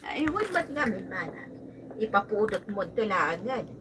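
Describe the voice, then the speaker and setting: A woman playing an excitable, generous homemaker mother in a radio drama, with an Ilocano accent. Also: mid-range voice, moderate pace, far from the mic, a crowd in the background, natural voice. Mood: insistent.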